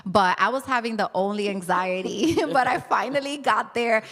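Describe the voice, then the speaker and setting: A woman's voice: on the high side, moderate pace, a bit bright. Brief: New woman, forties, candid interview guest, laughs a lot, long reverb on the voice. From New York City. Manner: laughs often, repeats words while searching. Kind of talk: candid conversational storytelling.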